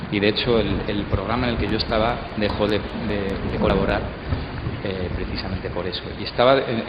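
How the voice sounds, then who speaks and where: Spanish guy, lowish voice, kinda halting panel talk, boomy hall with noise behind. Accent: Spain. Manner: hesitant, repeats and restarts phrases. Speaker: radio contributor speaking in a congress debate. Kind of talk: unscripted panel discussion remarks. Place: large hall at a radio drama congress. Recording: echoey hall, dull sound, clear background noise.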